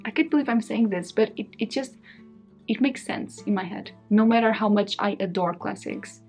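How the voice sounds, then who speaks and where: A woman's voice: medium pitch, moderate pace, a little mellow. A young woman, chatting casually to camera as a book vlogger, musing indecisively and laughing often. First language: Portuguese. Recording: faint guitar music behind.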